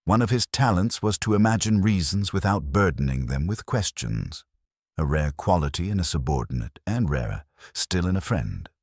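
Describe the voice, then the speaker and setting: A man narrates literary fiction in a very deep voice with a husky grain. His delivery is formal and measured, with lively intonation. The sound is dry and close, free of room. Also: dry recording. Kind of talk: scripted literary audiobook narration.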